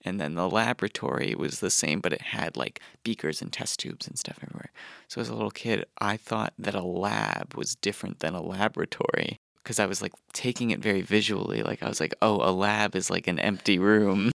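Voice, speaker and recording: A man's voice: low-pitched, lively intonation, fairly quick, gravelly, fairly bright tone, no room sound, clean studio sound. A man casually telling childhood stories in a conversational, chuckling way, with music underneath. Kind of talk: casual personal storytelling.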